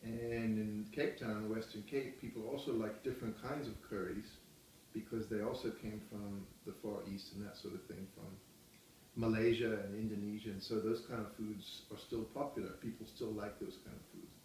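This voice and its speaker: A middle-aged man calmly explaining things to his child during a home interview, restarting phrases as he thinks. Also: fairly low pitch, moderate pace, a little hiss or hum. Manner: calm, explanatory, gently correcting himself. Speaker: interviewee, parent. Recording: echoey room, mic far off.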